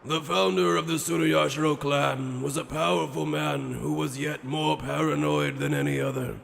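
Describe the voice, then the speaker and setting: A man voicing an ancient, grandiose sage in an anime fan dub, telling lore in a measured, portentous and theatrical way. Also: fairly high pitch, fairly even intonation, gravelly, fairly bright tone, slight background noise. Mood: grave, grandiose. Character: ancient, grandiose male sage recounting the world's creation. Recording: long hall-like reverb.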